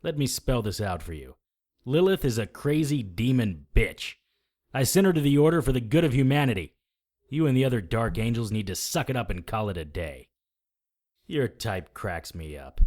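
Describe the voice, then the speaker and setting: An actor playing a cocky, sarcastic hardboiled supernatural detective, narrating and trading defiant lines in a noir audio drama. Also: male voice, mid-range voice, expressive, normal speed, a little mellow, natural voice. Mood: cocky taunting.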